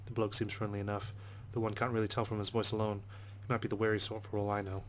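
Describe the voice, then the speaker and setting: Fast, low, even-voiced man reading. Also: slight background noise. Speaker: let's player. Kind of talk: reading visual novel narration aloud.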